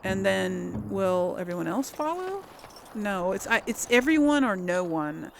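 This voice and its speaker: A woman's voice: medium pitch, very animated, a little slow, vocal fry, a little hiss or hum. A woman giving casual game commentary, thinking aloud and chatting to her viewers. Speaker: gamer hosting a let's play. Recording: game music behind the voice. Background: video game music.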